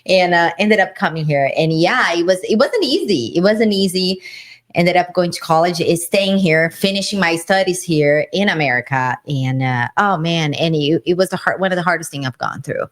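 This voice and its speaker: A woman co-hosting a podcast, with a Brazilian Portuguese accent. Her voice sits fairly low for a woman, but she speaks with earnest emphasis and often leaps higher on the words she stresses. The talk is relaxed and conversational. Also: moderate pace. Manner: earnest and emphatic, swinging high in pitch. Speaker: co-host. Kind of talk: casual conversation.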